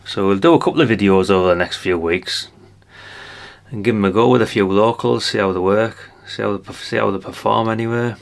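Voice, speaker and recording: A man's voice: fairly low pitch, brisk, vocal fry. An older man giving a casual hands-on product demonstration, chatty and unscripted, thinking aloud as he goes. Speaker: presenter of a radio gadget review channel.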